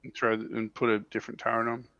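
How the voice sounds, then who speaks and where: Dull lo-fi recording, man, heavy vocal fry, dry sound, casual panel chat. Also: medium pitch, level tone, fast talker, bright, forceful tone, no echo. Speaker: livestream panelist. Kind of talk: casual hobby discussion.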